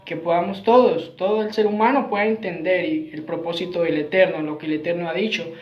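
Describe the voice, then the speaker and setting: A man's voice: high-pitched, steady tone, brisk, faint music behind. Male preacher, earnest Bible teaching, repetitive phrasing, roomy echo, dull lo-fi sound. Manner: earnest, repetitive phrasing, frequent tag questions. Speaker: Messianic preacher.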